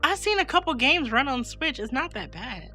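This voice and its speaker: A woman's voice: sits high, very animated, moderate pace, sharp tone, soft background music. A woman co-hosting a gaming podcast in casual, slangy, emphatic chat, sounding like she has a slight head cold. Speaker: podcast co-host and game streamer.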